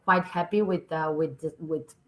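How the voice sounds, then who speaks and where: A woman in her thirties from Serbia presents a webinar over a video call from an office. Her voice sits a little low. She talks in a friendly way, with frequent fillers and restarts. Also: fairly even intonation, measured pace. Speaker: university recruitment manager. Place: office room indoors.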